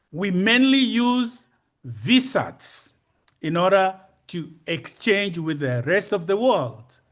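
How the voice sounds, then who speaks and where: An older man in his sixties or beyond with a Congolese accent, giving a slide presentation in a deliberate, explanatory manner and repeating key phrases for emphasis. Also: high-pitched, fairly bright tone. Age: 60s or older. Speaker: conference presenter.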